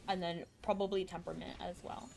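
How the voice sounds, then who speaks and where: A woman streaming a video game, chatting casually and thinking out loud as she plays, with a chuckle here and there. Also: lowish voice, little pitch movement, fast, gravelly. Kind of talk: casual live-stream chat while gaming. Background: faint game music.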